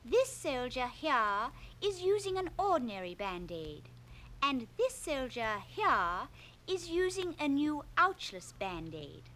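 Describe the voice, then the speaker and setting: A woman's voice: very high voice, pushed and bright, exaggerated voice, poor audio. A woman playing a chirpy commercial presenter who speaks in a sing-song, salesy way. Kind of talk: mock advertisement.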